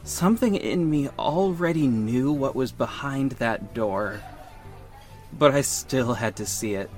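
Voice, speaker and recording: A wounded ex-lover, slow and earnest. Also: male voice, mid-range voice. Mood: bitter, wounded. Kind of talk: audio drama acting and first-person narration.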